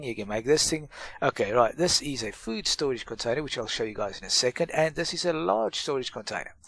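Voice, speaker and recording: A man's voice: medium pitch, fairly bright tone, no room sound. Stuffy-nosed chatty man, dry close sound. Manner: chatty, self-mocking, rambling asides. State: stuffy from a cold. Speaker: gaming channel host. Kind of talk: casual gameplay tutorial.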